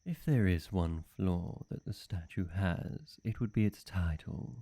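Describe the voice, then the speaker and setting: A man's voice: low voice, vocal fry, dark tone, no echo. A man playing a suave, flirty demon servant, obsessively devoted, speaking formally and silkily in a scripted roleplay monologue. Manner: unhurried, silky, fawning, formal.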